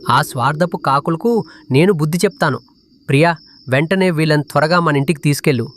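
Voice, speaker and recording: A man's voice: a little high, fast talker, close to an everyday voice. A man voicing a kindhearted, protective male pigeon, concerned and resolute, in a children's cartoon.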